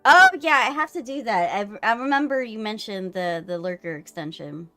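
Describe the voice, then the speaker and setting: A woman chats on a gaming live stream with faint music behind her. Her pitch sits in the middle for a woman. She talks casually and likes to tease in a playful way. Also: animated, normal speed, sharp tone. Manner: playful, teasing.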